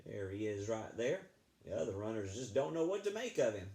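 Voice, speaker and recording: Animated man reading a storybook aloud. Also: fairly low pitch, a little fast. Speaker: read-aloud storyteller, apparently addressing schoolchildren. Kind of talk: expressive picture-book read-aloud with casual asides.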